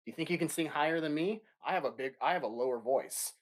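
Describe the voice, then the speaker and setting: A man's voice: on the high side, fast talker. A man teaching a remote music lesson to young children, upbeat and encouraging, singing short demo notes.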